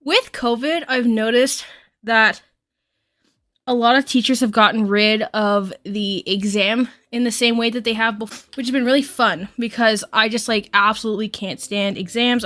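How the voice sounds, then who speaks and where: A woman's voice: a little high, normal speed, fairly bright tone. A woman in her twenties from Quebec, Canada, chatting casually and rambling with lots of fillers as a YouTuber. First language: English. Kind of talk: casual chat while doing makeup.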